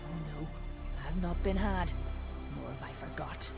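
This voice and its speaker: An actress playing a proud, scorned village herbalist in a fantasy game, vengeful and scornful, with rustic old-fashioned speech. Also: female voice, low-pitched, measured pace, vocal fry, over loud music. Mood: bitter, vengeful. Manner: rustic, archaic wording, scornful.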